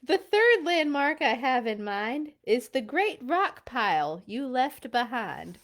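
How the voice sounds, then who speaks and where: A woman's voice: high voice, big pitch swings, slow pace, exaggerated voice. A woman voicing an encouraging female scout leader in a storybook, speaking ceremoniously and with emphasis. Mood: proud, approving. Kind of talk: animated storybook read-aloud.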